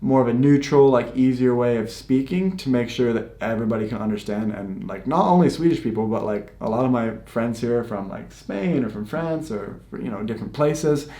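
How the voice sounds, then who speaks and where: A man's voice: medium pitch, a little fast, few pauses. American man, casual chat in echoey room. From United States. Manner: relaxed, filler-heavy talk with lots of "like". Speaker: YouTuber host. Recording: roomy echo.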